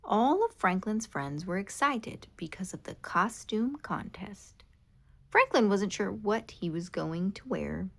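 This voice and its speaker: Slow, expressive woman reading a story. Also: medium pitch, vocal fry. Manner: expressive and animated. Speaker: storybook reader. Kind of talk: children's read-aloud narration.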